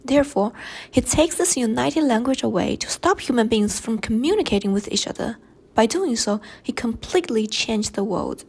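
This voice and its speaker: Chinese-native young woman, gravelly voice. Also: on the high side, expressive, moderate pace, darkish tone. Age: young adult. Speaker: student presenter. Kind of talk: read class presentation narration.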